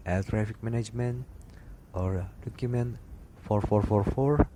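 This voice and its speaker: A man reads technical study notes aloud, slowly and haltingly, breaking off and restarting words. His voice sits fairly low. A clear hiss of noise runs under the recording. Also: mellow. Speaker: aviation instructor. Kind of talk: reading study material aloud.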